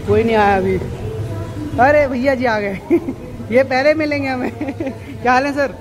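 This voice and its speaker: A man's voice: very high voice, unhurried, noisy background. A young man in his twenties vlogging casually in a large indoor gym, joking and teasing friends while holding a phone camera. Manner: joking, teasing, laughs often, calls out to friends. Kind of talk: casual gym vlog chat. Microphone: phone camera held at arm's length. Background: gym music.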